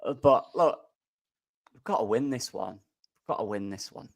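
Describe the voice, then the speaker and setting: A man's voice: lowish voice, expressive, normal speed, vocal fry, dry recording. A man joining a casual football chat as a guest, earnest and emphatic, repeating his points. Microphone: remote stream call.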